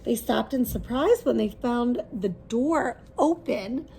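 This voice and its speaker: High-pitched, creaky woman reading a children's story. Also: lively intonation, takes it slowly, mellow, slight background noise, rough recording. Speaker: read-aloud storyteller. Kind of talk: expressive children's story reading with questions to listeners.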